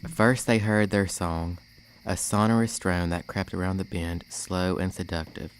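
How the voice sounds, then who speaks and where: A man's voice: deep voice, little pitch movement, a little slow, clean tone. A young man narrating a story from a script. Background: music.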